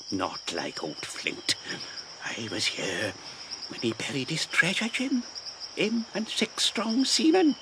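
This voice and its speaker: Eccentric old marooned sailor, swooping pitch, slow, wheedling, rustic dialect, faint background noise. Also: male voice, full character voice. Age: older. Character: eccentric ragged old marooned sailor, wistful and half-crazed. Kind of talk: audio drama acting.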